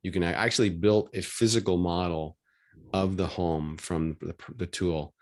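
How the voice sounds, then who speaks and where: A man's voice: sits low, fairly even intonation, normal speed. A middle-aged man from Washington State telling a story in a matter-of-fact, chatty way on a video call. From Washington State, USA.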